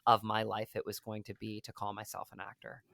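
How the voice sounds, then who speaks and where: Earnest man with an even, steady pitch. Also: brisk, creaky, dry recording, clean studio sound. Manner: earnest, hesitant, many "like" and "you know". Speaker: actor, interview guest. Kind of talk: reflective personal storytelling interview.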